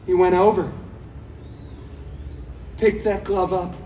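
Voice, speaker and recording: A man playing a flamboyant, sarcastic antihero, telling a comic parody fairy tale to an audience with dramatic pauses. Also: high-pitched, little pitch movement, warm tone, slight background noise, voice pushed down. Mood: sarcastic, theatrical. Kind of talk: comic live storytelling to an audience. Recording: roomy, distant live event with music behind.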